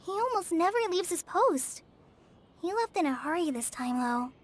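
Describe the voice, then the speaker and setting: A woman voicing a gentle, earnest and sickly young forest ranger girl in a video game, speaking politely and a little hesitantly. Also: extremely high pitch, very animated, moderate pace. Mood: puzzled. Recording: game music under the voice.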